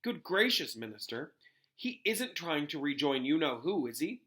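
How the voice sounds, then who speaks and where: A man's voice: on the high side, sing-song, normal speed, sharp tone. A man voicing a curious, anxious female pub landlady in a storybook, asking questions in an urgent way. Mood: alarmed.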